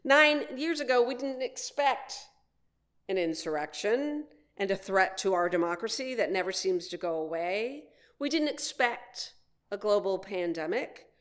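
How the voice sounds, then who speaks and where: A woman minister delivering a sermon in deliberate phrases, with frequent pauses. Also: medium pitch, lively intonation. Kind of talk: sermon with read quotations.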